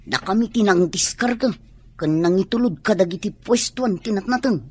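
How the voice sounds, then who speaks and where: An actress playing a dutiful, hard-working young person, earnest and reassuring, in a radio drama. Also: female voice, medium pitch, normal speed, darkish tone, breathy edge, natural voice. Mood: caring, earnest. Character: dutiful, hard-working young adult child of ailing mother.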